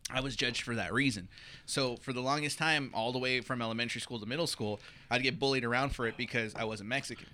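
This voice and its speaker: A man's voice: medium pitch, quick pace, sharp tone. A man co-hosting a casual podcast, telling a personal story in a chatty way full of fillers. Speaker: podcast co-host. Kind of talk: casual group chat.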